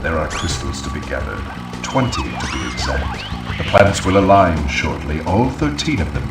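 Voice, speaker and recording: An actor playing a pompous, scheming mad scientist villain in a video game cutscene, grandiose and theatrical. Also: male voice, extremely low pitch, animated, normal speed. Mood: ominous. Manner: theatrical, pompous, exasperated outbursts. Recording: roomy, distant, music underneath. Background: music.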